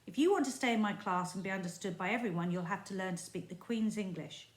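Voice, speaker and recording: Sex: woman. Figure: a woman putting on a stern schoolteacher shouting at a pupil. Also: medium pitch, lively intonation, fast talker, close to an everyday voice. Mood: scolding. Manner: shouting, scolding. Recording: roomy echo.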